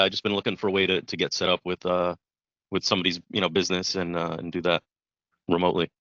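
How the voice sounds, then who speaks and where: A man answers questions on a sales call, pausing and filling gaps with many fillers. His low voice has a bright, tense edge, and the audio is dull and lo-fi. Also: no room sound. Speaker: sales prospect.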